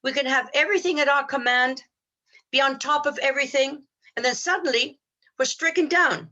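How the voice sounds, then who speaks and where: This is a woman with a fairly high, very bright and forward voice. She speaks as a podcast co-host in reflective spiritual talk. Her manner is earnest and measured, as someone philosophizing aloud. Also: dull audio.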